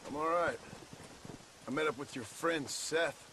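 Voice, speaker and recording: An actor playing a gruff, dry-witted former outlaw with a blunt American manner of speaking in a Western game. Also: male voice, a little high, measured pace, background noise. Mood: dry, wry. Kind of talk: video game cutscene acting.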